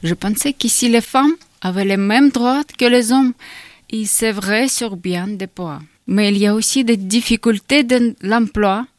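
A woman's voice: fairly high pitch, measured pace, no echo. Woman, dry recording. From Ukraine. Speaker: speaker giving a testimony. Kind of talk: scripted testimony read aloud.

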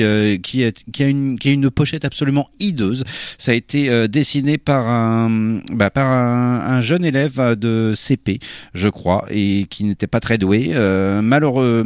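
A man's voice: medium pitch, a little mellow, dry recording, studio quality. A man presenting a radio music show in a hesitant, self-mocking way, full of fillers and restarts. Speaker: radio host.

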